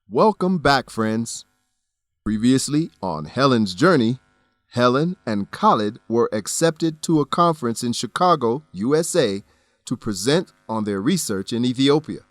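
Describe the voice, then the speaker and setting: A male narrator with a mid-pitched voice reads scripted lines for English learners in a slow, clear, measured way. The sound is close and dry with no room behind it. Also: animated, no echo, soft background music. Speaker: narrator and announcer.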